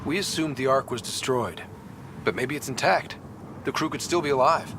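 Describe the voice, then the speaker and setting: A man acts out a young human pathfinder leading a space expedition in a video game. He is steady and determined, with a medium-pitched male voice. There is clear ambient noise behind him. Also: moderate pace, lightly acted. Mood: focused. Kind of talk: video game cutscene acting.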